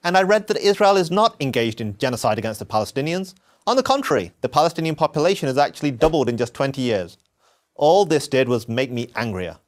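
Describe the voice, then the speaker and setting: A man from England, giving an earnest, measured scripted narration of his own story. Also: mid-range voice, very animated. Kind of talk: scripted first-person narration.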